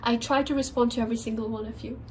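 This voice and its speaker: A nasal woman with a high voice gives a casual tarot reading, sounding a bit under the weather. Her voice cracks now and then, and she leans on filler words as she rambles on. A faint hum sits underneath. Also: fairly even intonation, very fast, a little mellow. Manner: chatty, heavy on filler words. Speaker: tarot reader.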